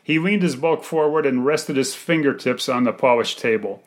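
A man with a mid-pitched voice reads a first-person literary story. He is measured and articulate, delivering it as the writer of the piece. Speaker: writer reading own short story.

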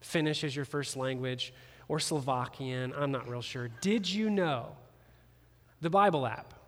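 A man preaching a sermon as campus pastor, animated and conversational, with playful jokes aimed at the congregation. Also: mid-range voice, unhurried. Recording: long reverb of a large hall.

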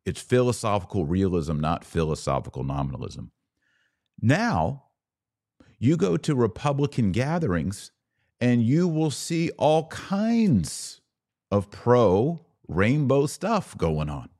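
An American man hosting a political commentary podcast, speaking in a deliberate, emphatic monologue that leans on repeated words for stress. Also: mid-range voice, big pitch swings, dark tone. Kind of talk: opinion monologue to camera.

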